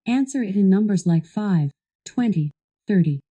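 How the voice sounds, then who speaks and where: A woman with a very soft, dark tone reads scripted instructions as a voice assistant. Her delivery is measured and formal, at an average pitch. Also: expressive, muffled recording. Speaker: voice assistant guiding a product demo.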